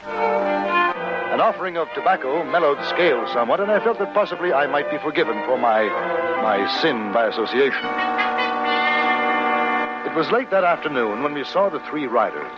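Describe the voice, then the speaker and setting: Educated traveler, calm, measured, formal, radio western, muffled recording with music behind. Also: male voice, a little high. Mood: wry, composed. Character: calm, educated traveler narrating his frontier journey. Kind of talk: old-time radio drama narration and acting.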